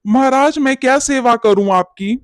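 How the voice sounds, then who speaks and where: A man's voice: very high voice, moderate pace, no echo, clean studio sound. A man playing a timid, gullible and respectful village sweet-seller in a cartoon bedtime story. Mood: submissive. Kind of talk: animated bedtime story character acting.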